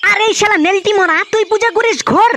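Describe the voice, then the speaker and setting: A performer voicing a bossy, foul-mouthed young man in a comedy cartoon, with a North Bengal accent and a shrill voice. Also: a little fast, heavy background noise. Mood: scolding. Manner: foul-mouthed, scolding, rustic. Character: Tepu, bossy scolding older-brother friend, young man.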